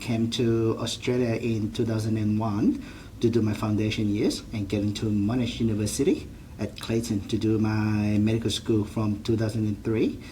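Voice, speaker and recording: A man's voice: lowish voice, level tone, normal speed, dark tone, a little hiss or hum. A man in his forties from Malaysia with a Malaysian accent, a GP answering interview questions earnestly, often restarting his sentences. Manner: earnest, with frequent restarts and non-standard grammar. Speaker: general practitioner.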